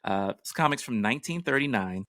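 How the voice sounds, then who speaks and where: A man's voice: mid-range voice, animated, fairly quick, pushed and bright, no echo, studio quality. A man hosting a podcast, chatting casually and introducing topics. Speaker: podcast host.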